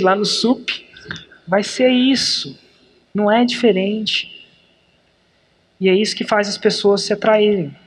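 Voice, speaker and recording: Emphatic Brazilian man, host. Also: high voice. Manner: energetic, emphatic, slows down to stress points. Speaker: podcast host. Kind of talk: animated business coaching talk.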